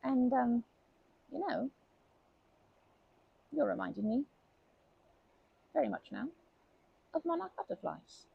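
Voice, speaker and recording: A woman voicing a kindly, chatty old grandma who rambles gently in a folksy, soothing way for an ASMR comfort roleplay. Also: sits high, big pitch swings, takes it slowly, pauses often, narrow, tinny audio, pitched above the performer's own voice, full character voice. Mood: chatty, rambling. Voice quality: creaky, breathy.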